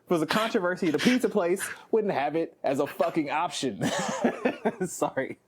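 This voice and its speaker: A man's voice: on the high side, expressive, moderate pace, breathy edge. Goofy, profane young man on a podcast. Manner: profane, goofy, laughs often. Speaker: podcast co-host. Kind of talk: casual podcast chat.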